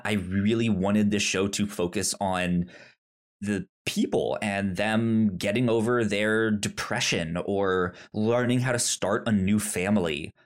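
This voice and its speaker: A man co-hosting a podcast, talking casually and haltingly, often stammering and restarting words. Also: fairly low pitch. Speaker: podcast co-host. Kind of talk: casual chat.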